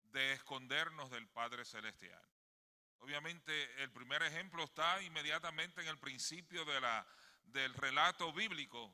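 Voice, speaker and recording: Bright, forceful Puerto Rican man preaching. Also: a little high. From Puerto Rico. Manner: emphatic, often seeks agreement with tag questions. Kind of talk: sermon delivered to a congregation. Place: indoors in a sanctuary. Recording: long hall reverb.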